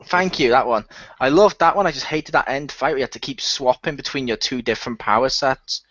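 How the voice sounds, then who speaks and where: A man's voice: medium pitch, fairly quick, a bit bright, no room sound. A man, a cast member, joking playfully in a casual group chat. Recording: dull lo-fi, dry sound.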